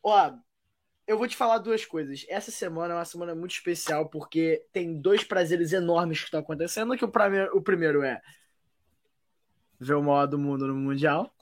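A man's voice: on the high side, moderate pace. A man co-hosting a casual football podcast, playful and full of slang, teasing his partner. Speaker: podcast co-host.

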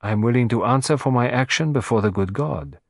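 A man's voice: medium pitch, a little fast, no room sound, natural voice. A frail, dying old woman, voiced by a man, speaks with proud, formal dignity. Her voice is raised a little above the reader's own. The recording is close and dry. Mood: solemn.

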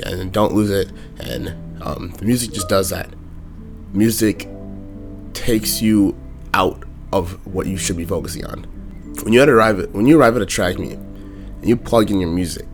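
A young man vlogging casually about his warm-up habits, talking in a monotone, raspy voice with a sore throat. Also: deep voice. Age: young adult. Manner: talks in loose runs with fillers. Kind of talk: casual ranting vlog.

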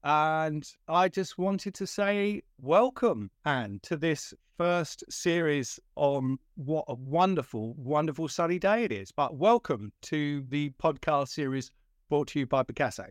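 Male podcast host in his fifties, friendly, rambling, slightly higher voice, dry sound. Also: expressive, a little slow, dry recording. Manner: friendly and rambling, with stammered restarts and chuckles. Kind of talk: podcast interview.

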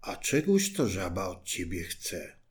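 A man voicing a stern old king in a fairy tale, speaking slowly and gravely. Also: low voice, sing-song, voice pushed down. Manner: slow, measured and grave.

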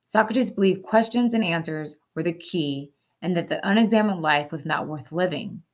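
Lowish-voiced woman narrating. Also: moderate pace. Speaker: host. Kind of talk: scripted explainer narration. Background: music.

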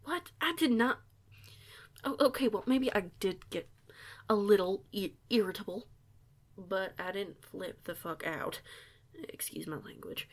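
A woman's voice: medium pitch, unhurried. A woman voicing a guarded eighteen-year-old werewolf delinquent who stays polite, with a folksy, hesitant manner, in an audio roleplay. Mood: irritated. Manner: folksy, hesitant, stammering, deferential.

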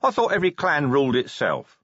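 A tired middle-aged male commander of the watch, dry and sarcastic, his questions lifting with lively inflection, as a male narrator voices him. The tone is a bit husky. The recording is dry and slightly muffled. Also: on the high side, a little fast, dry recording, pitched above the performer's own voice, full character voice. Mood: weary.

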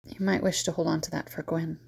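A woman playing a dry, creaky-voiced confident seer. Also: low-pitched, little pitch movement, fairly quick, a little mellow, full character voice. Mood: calm advice. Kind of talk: audio drama character acting.

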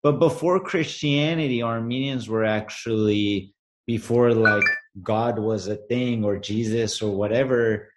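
A man from Los Angeles speaks in an earnest, reflective tone with a medium-pitched voice. He muses on nature and the spiritual in a relaxed conversation. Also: a little slow, heavy background noise. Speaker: guest, brand co-founder. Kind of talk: casual conversation.

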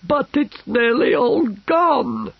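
A man's voice: very high-pitched, lively intonation, slow, creaky, slightly rough, no room sound, muffled recording, voice pushed up, exaggerated voice. A man voicing a sulky, pampered young emperor with a whining voice in a storybook reading. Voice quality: whiny.

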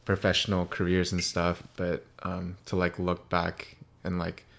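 A man's voice: low voice, completely flat delivery, measured pace, gravelly, a bit bright. A young man hosting a podcast in a laid-back, friendly way, calling his guest "dude" and "man". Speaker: podcast host. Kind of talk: casual chat.

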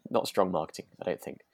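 A British man co-hosting a podcast in relaxed chat, hesitant and often repeating words as he discusses a radio drama. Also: low-pitched, no echo, clean studio sound. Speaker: podcast co-host.

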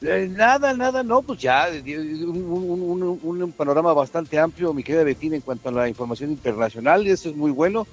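A man co-hosting a radio news segment, commenting informally in a halting, courteous manner. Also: fairly high pitch, expressive, faint music behind.

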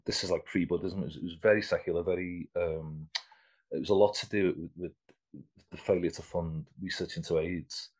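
A man in his fifties speaks in a deep, husky voice. He talks loosely and conversationally, restarting sentences and repeating words while he thinks aloud. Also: fairly even intonation, fairly quick, a little mellow. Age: 50s. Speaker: artist guest. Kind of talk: casual interview chat.

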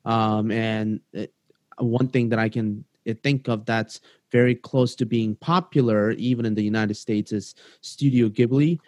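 A man from South Korea hosting a casual podcast interview, halting and full of ums and self-corrections. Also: fairly low pitch, steady tone, darkish tone, no echo, studio quality. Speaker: podcast host.

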